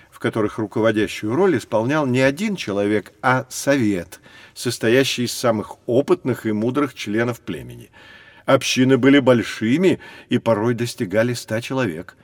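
A man's voice: medium pitch, expressive, moderate pace, no echo, close to an everyday voice. An actor playing a knowledgeable male professor who explains prehistory to children in a scripted educational audio drama. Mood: patient, explanatory. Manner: explanatory, lecturing. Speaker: educational guide.

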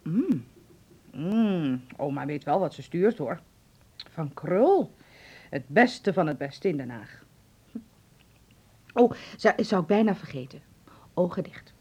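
An actress playing a doting but sharp-tongued middle-aged mother who fusses, in a Dutch radio drama. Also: fairly low pitch, expressive, slow, long pauses, husky, muffled recording, heavily acted. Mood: tender, fussing.

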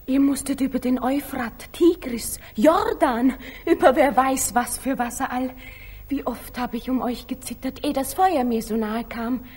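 An actress playing an ardent, devout, dreamy young daughter, rapturous and breathy, in a declamatory 1940s radio drama. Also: female voice, sits high, unhurried, darkish tone. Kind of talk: 1940s German radio drama stage acting. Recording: aged 1940s radio recording.

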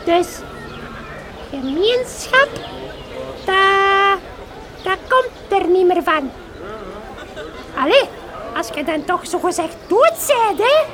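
An actress with a Flemish accent playing a gossipy, plaintive village housewife in a comic radio drama. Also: female voice, extremely high pitch, sing-song, slow pace, pauses often, crowd noise, full character voice. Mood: plaintive gossip. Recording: very noisy, busy outdoor scene.

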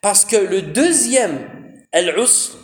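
High-voiced man teaching in an echoey room. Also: animated, slow. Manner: addresses listeners as brothers, asks and answers own questions; frequent "hein" fillers, mixes Arabic terms into French. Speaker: Islamic teacher. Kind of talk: lesson explaining Quran commentary.

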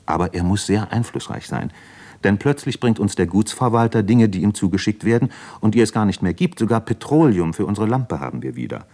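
A man's voice: on the low side, animated, a little fast, mellow. An actor playing a brooding, ailing man who searches himself in an introspective diary monologue for a radio play. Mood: puzzled.

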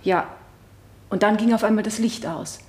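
A woman acts a sincere wife testifying in a radio courtroom drama. She recounts things simply at a medium pitch, with a roomy echo on her voice. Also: moderate pace, a little mellow, close to an everyday voice. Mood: earnest recounting. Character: earnest wife of the accused on the witness stand. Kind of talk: 1970 German radio drama acting.

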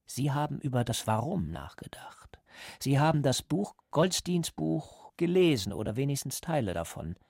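An actor playing a calm, cold party interrogator in a radio drama, speaking in a measured, lecturing way. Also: male voice, medium pitch, gravelly, no echo, clean studio sound. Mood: patient, instructive. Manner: measured, didactic.

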